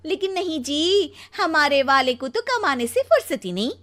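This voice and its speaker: A nagging young Indian wife from a cartoon, acted by a woman. Her voice is very high and swings up and down as she whines, pleads and scolds. She always sounds like she wants something more. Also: sing-song, moderate pace, fairly bright tone. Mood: whiny complaint. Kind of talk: Hindi cartoon story dialogue.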